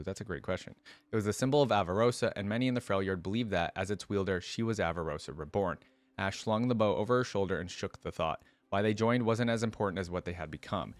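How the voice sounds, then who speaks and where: A man's voice: lowish voice, no echo. A man hosting a reaction video, reading story lore aloud and breaking in with casual, conversational commentary.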